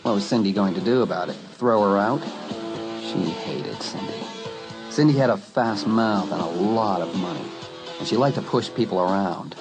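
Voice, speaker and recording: A man narrating a dramatised teen horror story in an expressive, dramatic storytelling manner. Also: on the low side, normal speed, music in the background, poor audio. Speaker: audiobook narrator.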